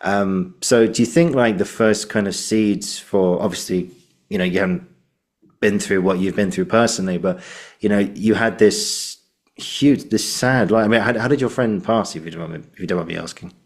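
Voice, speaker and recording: Male podcast host, low-pitched, conversational, halting and roundabout in phrasing. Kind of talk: casual interview conversation.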